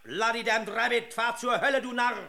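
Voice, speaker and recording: A man's voice: very high-pitched, steady tone, normal speed, vocal fry, heavily acted. A man playing a scornful, blustering frontier army officer in a German radio drama, with contemptuous delivery. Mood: angry contempt. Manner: forceful, contemptuous.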